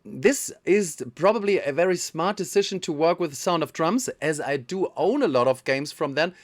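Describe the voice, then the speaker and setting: A man from Germany speaks with a German accent and a fairly high voice. He hosts a casual interview, friendly and hesitant, and fills pauses with short sounds. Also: lively intonation. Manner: friendly, hesitant, many fillers. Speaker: host.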